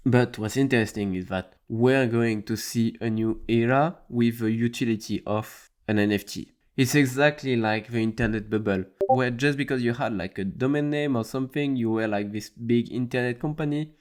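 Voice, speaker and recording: A man's voice: mid-range voice, darkish tone. A man hosting a casual tech news talk, rambling loosely with frequent "I mean" and restarts. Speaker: host.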